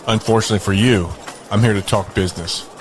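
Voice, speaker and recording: An actor playing a curt, businesslike boss of a refugee camp in an audio drama. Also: male voice, fairly low pitch, fairly even intonation, fast talker, over music, close to an everyday voice. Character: ruthless male camp boss, curt but indulgent.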